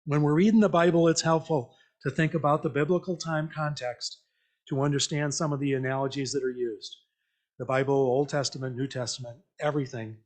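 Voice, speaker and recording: A man giving an informal, interactive church message in a conversational, reflective, halting way, often checking in with questions. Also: a little high, steady tone, darkish tone. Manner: invites listeners with questions. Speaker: lay church speaker.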